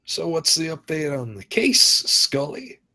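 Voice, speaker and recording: A man's voice: fairly high pitch, very animated, measured pace, pushed and bright, full character voice. An actor playing a giddy, affectionate, playfully flirtatious father in a film script table read. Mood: playful, teasing. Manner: playful, teasing.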